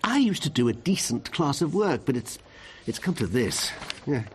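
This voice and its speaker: An actor playing a glum, fussy, snobbish English barrister in a radio drama, plaintive and prim. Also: male voice, medium pitch, very animated, moderate pace, slight background noise, close to an everyday voice.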